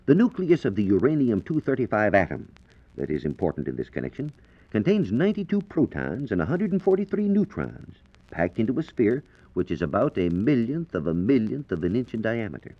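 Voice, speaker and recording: An American man explains science in a formal, scripted 1940s radio manner. His voice is fairly low, with lively intonation. The vintage recording is dull and lo-fi. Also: fairly quick. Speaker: science reporter. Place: radio studio.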